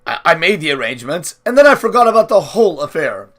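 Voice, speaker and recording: A man's voice: fairly high pitch, very animated, fast talker, fairly bright tone. Man acting a careful, apologetic manservant. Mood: careful, anxious. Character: careful, deferential manservant confessing to his lord.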